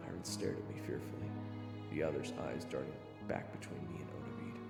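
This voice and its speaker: Man playing a gravelly, nervous young hero. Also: rumbling low, fairly even intonation, a little fast, loud music behind the voice. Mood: hushed storytelling. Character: young male chef-swordsman, self-deprecating, nervous hero narrator. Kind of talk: first-person scripted narration and acting.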